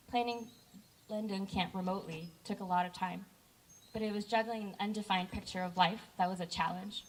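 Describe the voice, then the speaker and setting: An earnest young woman delivers a personal speech to a camp crowd. Her voice sits mid-range and comes across a little distant from the microphone. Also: medium pitch. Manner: heartfelt, earnest, with brief self-corrections. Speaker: camp director giving a speech.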